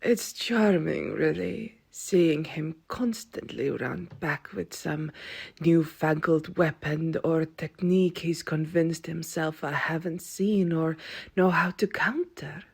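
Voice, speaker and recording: A woman playing an ancient, world-weary Viking-born vampire aunt in an audio roleplay, wry and stern, with a husky, breathy voice and archaic wording. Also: low voice, full character voice. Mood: wry amusement. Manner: slow, dry, archaic wording.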